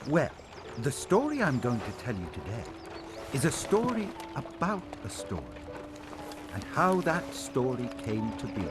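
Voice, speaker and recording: An actor playing a genial fairy-tale storyteller who narrates in a cartoon. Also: male voice, medium pitch, huge pitch swings, a little slow. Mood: genial, musing. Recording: loud music under voice.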